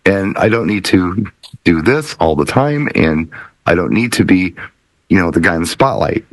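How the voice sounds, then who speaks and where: A man's voice: low-pitched, lively intonation, normal speed, no echo. A middle-aged man who works as a DJ, chatting casually as a panelist on a talk show.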